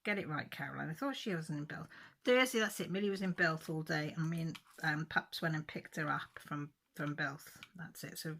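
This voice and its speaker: A woman's voice: sits low, normal speed, vocal fry, fairly bright tone. A woman chatting casually at home while crafting, rambling and correcting herself about the days, a little stuffy with a cold. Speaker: crafting vlogger.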